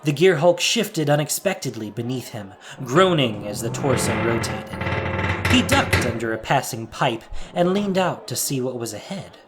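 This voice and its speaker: A man's voice: mid-range voice, expressive, unhurried, music in the background. A man giving a dramatic, scripted narration of an adventure story as the narrator of an audio drama.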